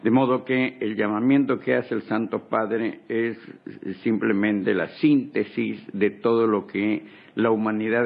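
A man's voice: fairly low pitch, vocal fry. A man from Ecuador, an ambassador, speaking fervently in a radio interview with repeated rhetorical emphasis. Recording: tinny phone line.

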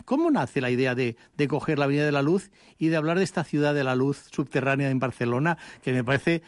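A man's voice: mid-range voice, expressive, quick pace, no echo. A man hosting a radio interview, chatty and prone to repeating words. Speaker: radio host.

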